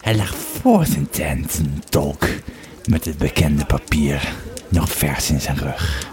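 A man playing a grieving Roman legionary who tells his memories haltingly and reflectively in an audio drama. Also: extremely low pitch, expressive, darkish tone, exaggerated voice. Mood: grave. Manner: halting, reflective storytelling with searching pauses. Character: grieving, fearful Roman legionary recalling his army days. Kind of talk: audio drama first-person narration.

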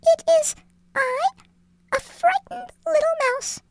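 Tiny squeaky timid storybook mouse. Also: male voice, slow, very noisy. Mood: frightened. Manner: pleading, meek. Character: timid little male mouse, frightened, humble and self-pitying. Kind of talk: storybook character voice.

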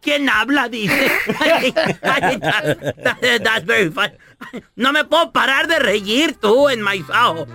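A man's voice: very high voice, animated, drawn-out words, gravelly, sharp tone, faint music behind, full character voice. A man voicing a giggly, stoned older prank caller who keeps laughing and tossing in English phrases on a radio show.

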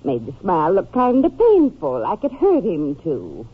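A woman's voice: lowish voice, wildly swooping pitch, moderate pace, breathy edge, exaggerated voice. An actress playing a gossipy, folksy Texas landlady in an old-time radio drama, chatty and rambling. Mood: recollecting. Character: gossipy, folksy Texas landlady who claims to mind her business. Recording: tinny, narrow old radio recording.

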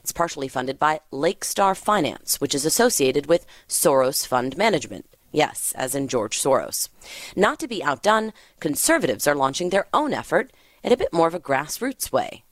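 A woman narrating a scripted news report with a brisk, measured delivery. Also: low-pitched, sing-song, dry recording.

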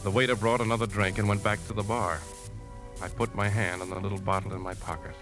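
A man playing a brooding, secretly scheming leading man in a 1950s radio drama, speaking in a measured, confiding way. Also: on the low side, level tone, close to an everyday voice. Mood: brooding, tense. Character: brooding man secretly plotting to poison a woman. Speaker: lead actor and narrator. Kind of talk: 1950s radio drama, first-person narration and dialogue. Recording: vintage 1950s radio with music.

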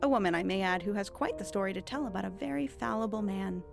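A woman's voice: mid-range voice, fast talker, creaky, over music, close to an everyday voice. An actress playing a cunning, bookish thief posing as a witch in an audio drama, articulate, mock-courteous and teasingly self-assured. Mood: assured, pressing. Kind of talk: audio drama acting, period dialogue.

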